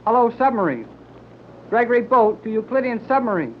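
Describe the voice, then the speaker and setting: An excitable, folksy young sidekick, muffled old radio sound. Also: male voice, extremely high pitch, brisk, a crowd in the background. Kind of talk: 1930s radio acting. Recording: dull lo-fi 1930s radio recording.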